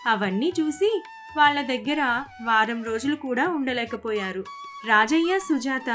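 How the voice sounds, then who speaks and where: A woman's voice: high voice, lively intonation, a little slow, fairly bright tone. A woman narrating a scripted story. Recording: constant background music. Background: music.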